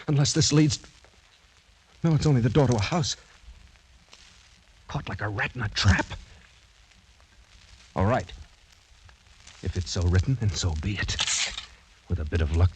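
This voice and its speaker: Actor as lowish-voiced, hunted young cavalier. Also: male voice, moderate pace, pauses often, darkish tone, slight background noise, lightly acted, poor audio. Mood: desperate. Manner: urgent, dramatic. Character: hunted young French cavalier, anxious but brave. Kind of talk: 1940s radio drama acting. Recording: vintage radio drama recording.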